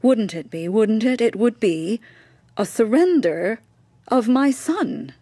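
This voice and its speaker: A grieving woman in a radio drama, her voice dark and closely recorded in a dry space. She is guarded and subdued, giving short, hesitant answers as a mother whose faith is failing. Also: a little high, sing-song, takes it slowly, breathy edge, no echo, heavily acted. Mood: anguished.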